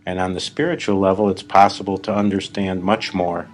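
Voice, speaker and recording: A man leading a live guided meditation as a spiritual teacher, speaking deliberately in short phrases with long pauses. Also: sits low, little pitch movement, faint music behind.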